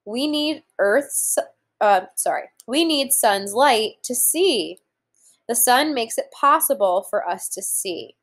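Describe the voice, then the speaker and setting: Woman in her 30s doing a kids read-aloud at home, takes it really slow, explains stuff simply. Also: fairly high pitch, lively intonation. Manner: deliberate, simple explaining for young children. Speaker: teacher reading aloud to young children. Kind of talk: children's read-aloud lesson with explanatory asides. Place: room at home, indoors.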